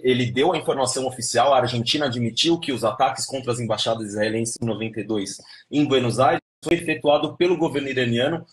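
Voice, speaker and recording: Young man with a São Paulo accent. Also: medium pitch. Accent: São Paulo Portuguese. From Brazil, São Paulo. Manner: explanatory, steady and lecturing. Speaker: interview guest. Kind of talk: live stream interview.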